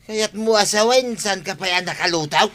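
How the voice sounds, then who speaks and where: A man's voice: high-pitched, normal speed, a bit bright. A man with an Ilocano accent playing a gloomy, stubborn village husband in a radio comedy drama. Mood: protesting.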